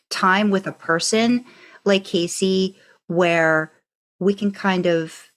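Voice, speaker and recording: A woman co-hosting a podcast, telling stories casually in an enthusiastic, rambling way. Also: mid-range voice. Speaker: podcast co-host. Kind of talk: casual conversational storytelling.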